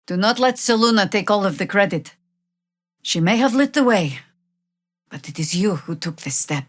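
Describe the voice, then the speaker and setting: An actress playing a seasoned, sardonic older female druid warrior in a video game, with a wry, commanding, measured delivery. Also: medium pitch, animated, dry recording, clean studio sound, close to an everyday voice. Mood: solemn, earnest. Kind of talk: video game voice acting, dramatic dialogue and battle barks.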